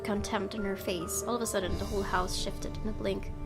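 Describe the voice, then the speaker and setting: A woman's voice: medium pitch, steady tone, a little fast. A woman gaming host, reading a visual novel aloud expressively and adding her own casual commentary. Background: game music.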